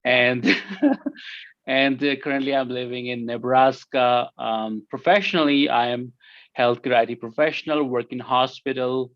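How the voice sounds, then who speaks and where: A man's voice: medium pitch, steady tone, measured pace, no room sound, muffled recording. A man from India with an Indian accent, answering interview questions in a hesitant way with many fillers and restarts. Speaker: interview guest.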